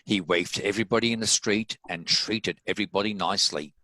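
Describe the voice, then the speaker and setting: A male narrator with a New Zealand accent reads a story. His voice is fairly low yet bright and crisp-edged, recorded close and dry. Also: fast, dry recording, poor audio.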